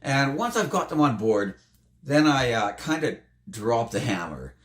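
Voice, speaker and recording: A slightly hoarse man telling a tabletop game story in a casual, animated way. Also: a little slow. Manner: animated, jumps up in pitch for emphasis. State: slightly hoarse, losing his voice. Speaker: tabletop game player recounting a campaign. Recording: roomy, echoey room.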